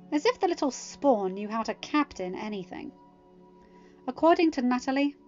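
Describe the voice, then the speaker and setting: A woman reads a fan fiction story aloud with expressive delivery. Her voice sits at an ordinary middle pitch. The recording is a little muffled, with quiet music playing underneath.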